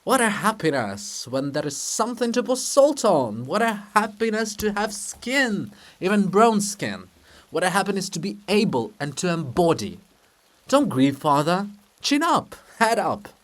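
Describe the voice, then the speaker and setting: Man playing a lively, needling statesman monument. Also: high voice, a little slow. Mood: chiding, then jaunty. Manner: teasing, theatrical, swings from blunt to grandly consoling. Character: brisk, needling, jaunty male monument of a statesman.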